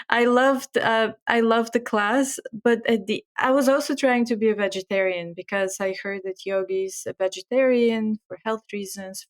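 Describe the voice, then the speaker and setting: A middle-aged woman from Bulgaria with a Bulgarian accent, a guest telling her story earnestly in an interview and laughing often. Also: on the high side. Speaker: guest chef and author.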